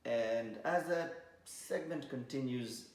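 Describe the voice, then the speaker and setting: A man's voice: mid-range voice, slow pace, darkish tone. A man in his thirties from Kenya with a Kenyan accent, a DJ co-hosting a casual podcast, talking in a hesitant, easygoing way. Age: 30s. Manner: hesitant, many fillers, easygoing. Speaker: podcast co-host, DJ. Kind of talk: casual chat mixing in Swahili. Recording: very echoey room.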